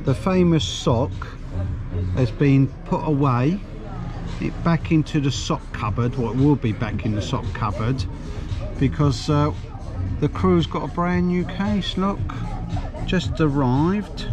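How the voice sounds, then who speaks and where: Chatty man on a restaurant terrace. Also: medium pitch. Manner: chatty, enthusiastic, addresses viewers as guys. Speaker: food vlogger. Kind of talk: casual restaurant vlog. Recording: background music in the restaurant.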